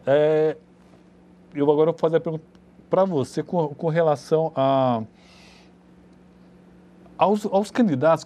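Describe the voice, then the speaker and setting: A man's voice: fairly high pitch, dark tone. A middle-aged man hosting a TV interview show in a conversational, rambling way. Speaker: TV talk show host. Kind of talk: interviewing guests in a studio. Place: small TV studio.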